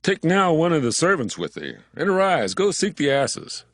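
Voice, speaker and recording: A man's voice: a little high, sing-song, normal speed, no echo, studio quality. An actor playing a commanding father giving orders in a dramatized scripture reading. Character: commanding father of grown son.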